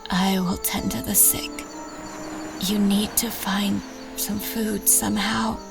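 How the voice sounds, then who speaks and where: A woman's voice: medium pitch, little pitch movement, slow, creaky, over music. A woman playing a weary, resolute forest dweller in a fantasy audio drama. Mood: weary, grave.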